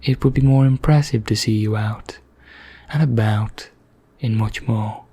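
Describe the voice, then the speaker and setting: A man playing an ancient, haughty vampire knight in an ASMR roleplay, speaking softly and half in whispers, formal and measured with deliberate pauses. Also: lowish voice, fairly even intonation. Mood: quietly knowing. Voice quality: soft, breathy, half-whispered, husky. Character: ancient male vampire knight, haughty, languid, darkly courteous.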